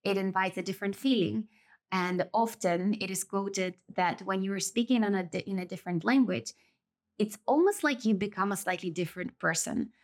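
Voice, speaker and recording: Female podcast host, native Russian speaker, medium pitch, reflective and explanatory solo delivery. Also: normal speed. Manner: thoughtful, explanatory, stretches and rephrases phrases.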